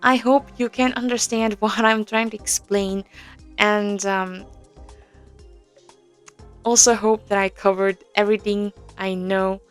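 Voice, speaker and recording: A young woman talks slowly and unhurriedly, explaining a game in a casual walkthrough. She hesitates often, filling gaps with ums and small nervous laughs. Faint music sits behind her. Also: medium pitch, little pitch movement, smooth. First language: Korean. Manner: hesitant, many fillers, soft nervous laughs. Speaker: audio game tutorial presenter.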